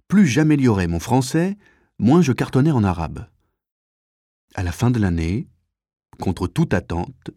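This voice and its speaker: Male audiobook narrator, fairly low voice, articulate and measured literary read, close dry studio-like sound with no room. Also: darkish tone, no echo.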